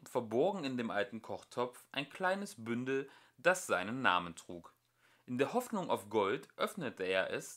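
A man narrating a storybook as an amateur audiobook reader, in an expressive storytelling manner. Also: mid-range voice. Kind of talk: storybook narration, read aloud.

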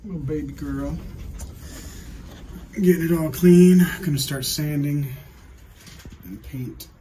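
A man in his thirties casually vlogging his sailboat restoration, chatty and relaxed, swearing and laughing to himself while holding the camera at arm's length. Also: on the high side, takes it slowly, a little hiss or hum, low-quality recording. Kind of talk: casual self-filmed vlog narration. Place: inside a small boat cabin and outdoors in a yard. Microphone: handheld action camera at arm's length.

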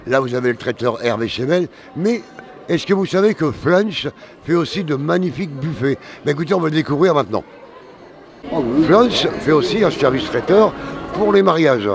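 A man's voice: on the high side, expressive, normal speed, crowd noise. An older man, a roving local TV reporter at a wedding fair, speaking into a handheld mic in an exhibition hall, upbeat and promotional. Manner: upbeat, promotional, addresses viewers directly. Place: large indoor exhibition hall.

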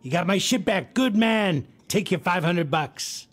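An actor playing a bossy, condescending crime boss in a film noir game, smug and commanding. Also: male voice, sits high, animated, a little slow, soft background music.

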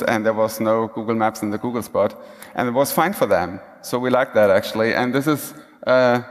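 Man, conversational keynote, mid pitch, long hall reverb. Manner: casual, conversational, frequent restarts and fillers. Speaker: keynote speaker. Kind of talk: conference talk. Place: large conference hall stage.

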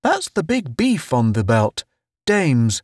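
A man's voice: medium pitch, wildly swooping pitch, takes it slowly, darkish tone, no echo, studio quality, voice pushed up, exaggerated voice. A man voicing a jovial, needling spaceman pal in an audiobook, full of giggly, folksy teasing. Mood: sly, knowing. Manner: gleeful, teasing, folksy wisecracking. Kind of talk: audiobook character voice.

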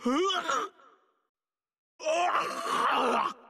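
A man's voice: very slow, pushed and bright, no room sound, clean studio sound. An actor playing a fanatical older male sorcerer who bellows heaven-invoking battle cries in a game. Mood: zealous. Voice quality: bellowing, sermon-like proclamations. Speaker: game character.

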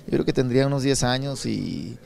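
A Mexican man in his thirties from Sinaloa, answering interview questions at an indoor expo booth into a handheld mic, relaxed and rambling with many repeated words. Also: medium pitch, a little fast, creaky. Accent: Sinaloan Mexican Spanish. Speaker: songwriter and singer.

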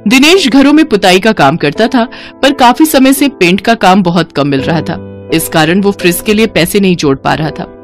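A woman's voice: medium pitch, animated, moderate pace. A woman narrating a moral story as a scripted storyteller. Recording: music behind the voice. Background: background music.

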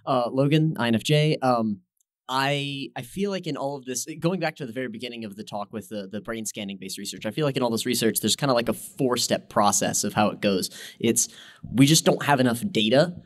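A man's voice: mid-range voice, fairly quick, dry recording. A male workshop student asking a question in a hesitant, thinking-aloud way. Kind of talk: live audience question.

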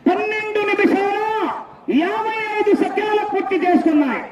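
A man gives theatrical, bombastic live commentary at a contest, with shouts and drawn-out cries. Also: very high voice. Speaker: live race announcer at a bullock-pulling contest. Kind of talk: excited live event commentary with chanted cries. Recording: distant echoey outdoor PA, noisy crowd, dull sound. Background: loud crowd and ambient noise.

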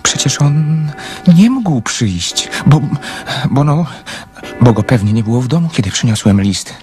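An actor playing a shy, devoted young man in love in a radio drama, speaking in a husky, halting, stammering and earnest way. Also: medium pitch, sing-song, darkish tone, soft background music. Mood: anxious, reassuring.

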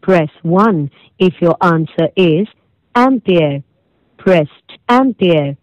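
A woman with an Indian accent reading formal, scripted automated phone prompts in a measured way over a phone line. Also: on the low side, animated, darkish tone, dry recording. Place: recorded phone call. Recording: tinny narrow phone line recording.

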